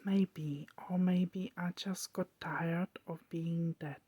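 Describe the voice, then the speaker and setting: Woman acting a weary ancient male vampire, brooding, poetic, hushed ASMR whisper, very slow, halting. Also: lowish voice, steady tone, slightly rough, dry recording, dull audio. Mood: grateful, wistful.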